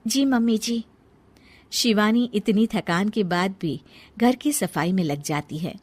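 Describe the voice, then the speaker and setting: A woman's voice: medium pitch, animated, unhurried, breathy edge. A woman narrating a scripted family folk tale as a storyteller.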